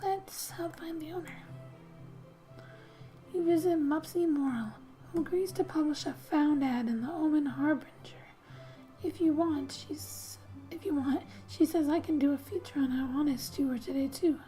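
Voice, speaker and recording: A woman softly narrating a game as ASMR host, breathy and often near a whisper, with gentle, unhurried, playful asides. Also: high voice, faint music behind, rough recording. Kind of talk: soft-spoken ASMR game narration, reading text aloud with asides.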